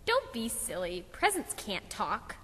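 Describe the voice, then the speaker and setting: A woman voicing a kindly talking Christmas tree in a children's puppet show, with a gentle, reassuring manner. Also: medium pitch, wildly swooping pitch, unhurried, breathy edge, in a large hall, full character voice.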